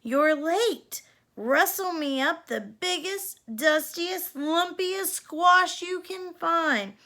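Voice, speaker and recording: A woman's voice: very high-pitched, extremely slow, pushed and bright, voice pushed up, exaggerated voice. A woman voicing a brash, bossy old cowgirl fairy godmother with folksy Western talk, in a storybook reading. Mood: rousing, bossy.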